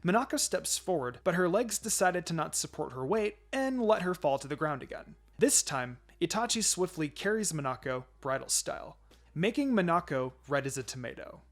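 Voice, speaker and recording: A man's voice: a little high, sing-song, normal speed. A man reading fan fiction aloud as a dramatic story narration.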